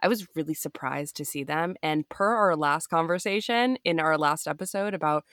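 Woman co-host, low voice, eager fan chatter, dry close mic. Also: moderate pace, fairly bright tone, no echo. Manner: enthusiastic, laughs often. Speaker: podcast co-host. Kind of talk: casual fan podcast chat.

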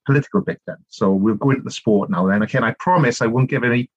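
A middle-aged man from South Wales with a Welsh accent, telling long animated stories as a podcast guest, full of restarts and asides. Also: medium pitch, fast talker, breathy edge, dry recording, dull audio. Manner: animated storytelling, rambling with many restarts and "you know". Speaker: guest, author and politician. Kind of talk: conversational podcast interview.

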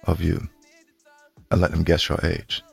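A man's voice: low voice, monotone, unhurried, gravelly, breathy edge, dry recording, soft background music. A man co-hosting a podcast, chatting casually with a bantering, mock-exasperated manner. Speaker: podcast co-host.

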